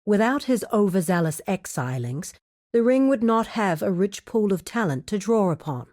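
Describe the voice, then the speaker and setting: A woman's voice: mid-range voice, lively intonation, a little mellow, close to an everyday voice. Woman playing a composed, shrewd underworld fixer. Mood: composed, knowing. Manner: measured, formal, articulate storytelling delivery. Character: composed, shrewd woman of a criminal guild, guarded and wry. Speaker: video game quest-giver character. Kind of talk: scripted video game dialogue.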